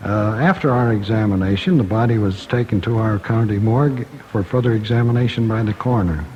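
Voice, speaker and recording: An American man giving a formal police report as a detective, halting and matter-of-fact. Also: fairly low pitch, warm tone. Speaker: homicide detective. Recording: muffled old radio, faint noise.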